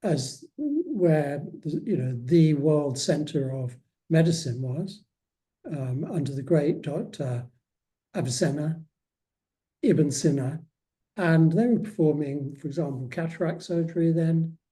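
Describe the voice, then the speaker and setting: A man's voice: on the high side, expressive, a little slow, mellow, low-quality recording. A man speaking as a guest author in a casual interview, often hesitating and restarting his phrases.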